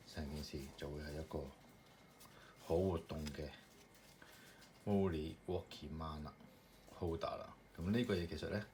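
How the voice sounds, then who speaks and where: A man with a low voice talks through an assembly in a loose, step-by-step way, with plenty of fillers. Steady noise and the click of plastic parts run under his voice. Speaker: maker demonstrating his product. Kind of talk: casual hands-on assembly demonstration.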